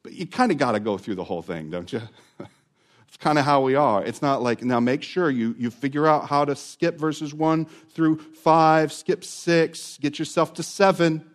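A male pastor preaching a sermon, dropping to a near whisper and then rising again, stretching out key words. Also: fairly high pitch. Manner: dramatic swings from loud to hushed, drawn-out words.

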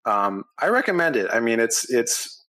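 A man's voice: medium pitch, sing-song, normal speed, pushed and bright, rough recording. A man in casual podcast chat, explaining at length with plenty of ums and uhs. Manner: explains history at length with many fillers. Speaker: podcast co-host.

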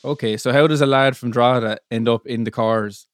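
A man hosting a music podcast, asking halting, friendly questions in a casual chat. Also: medium pitch, fairly even intonation, dry recording, clean studio sound. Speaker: podcast host. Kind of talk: scripted intro then casual interview.

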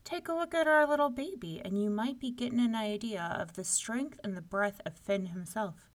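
A woman's voice: on the high side, normal speed, natural voice. Woman playing a sweet-talking, sly giant's wife. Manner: sweetly coaxing, feigned innocence.

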